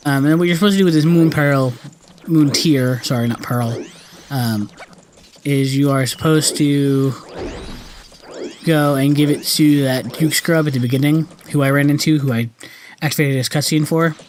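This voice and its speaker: A man's voice: a little high, fairly even intonation, normal speed, darkish tone, a little hiss or hum. A man giving casual let's-play commentary as the host, rambling loosely in slangy speech and correcting himself as he goes. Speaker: gaming video host. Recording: game music behind the voice. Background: video game music.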